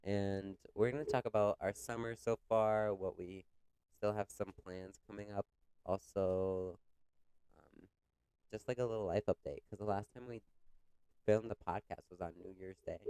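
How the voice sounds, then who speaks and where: A man's voice: lowish voice, fairly even intonation, normal speed, darkish tone, clean tone, no room sound, rough recording. A young man co-hosting a podcast in casual chat, congested with a cough, talking loosely with lots of filler words. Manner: chatty, many "like" fillers, retells stories with quoted voices. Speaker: podcast co-host.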